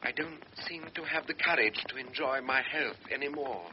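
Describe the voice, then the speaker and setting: A man's voice: mid-range voice, normal speed, natural voice. A man playing a brooding young lieutenant. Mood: reflective. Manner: inward, reflective monologue. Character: young cavalry lieutenant, guilty and reflective. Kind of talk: radio drama inner monologue. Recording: lo-fi radio with noisy background.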